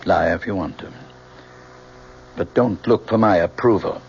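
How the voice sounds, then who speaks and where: A stern disappointed dad in an old radio play, low voice, grave short phrases, muffled w faint noise. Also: male voice, measured pace, vocal fry, a little mellow. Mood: cold, reproachful. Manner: grave, reproachful, clipped phrases. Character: stern, disapproving father of a grown daughter. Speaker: actor in a radio drama. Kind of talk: old-time radio drama acting.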